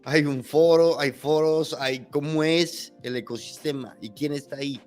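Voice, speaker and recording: A man hosting a casual podcast interview, playful and inquisitive, cutting in with quick questions. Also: fairly high pitch, darkish tone, soft background music. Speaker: podcast host.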